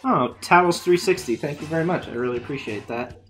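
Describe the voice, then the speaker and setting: A man live-streaming a video game, chatting casually with viewers while he plays, his voice going hoarse from a long session. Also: a little high, brisk, fairly bright tone, faint music behind. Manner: chatty, thinking aloud while playing. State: losing his voice.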